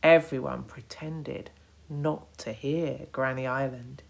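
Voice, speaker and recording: A woman narrating a children's storybook in a deliberate, expressive way, as a storyteller to young listeners. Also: very deep voice, gravelly. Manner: deliberate, expressive, hushed at times.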